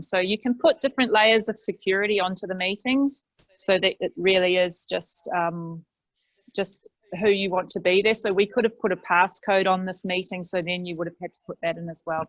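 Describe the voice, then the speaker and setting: A woman's voice: lowish voice, steady tone, fairly quick, dry recording, low-quality recording. Middle-aged woman, plain practical tutor, dry headset-mic audio. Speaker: library workshop host. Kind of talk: explaining a video-call app. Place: small office with green wall.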